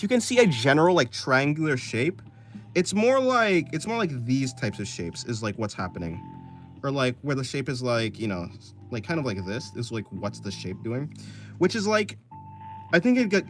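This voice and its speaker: A man in his twenties chatting casually on a livestream while drawing, thinking aloud and answering chat in loose, restarting sentences. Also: on the high side. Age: 20s. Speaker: streamer.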